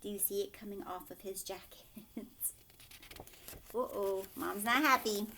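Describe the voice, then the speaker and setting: A woman reading a picture book aloud to young children as a teacher, expressively, drawing words out and dropping into hushed asides with questions for her listeners. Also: medium pitch, unhurried, slight background noise.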